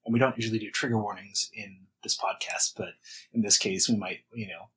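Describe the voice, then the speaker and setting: Male interviewer, low pitch with a tense, sharp edge, measured and understated delivery. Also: husky, low-quality recording. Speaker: podcast host. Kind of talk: casual interview.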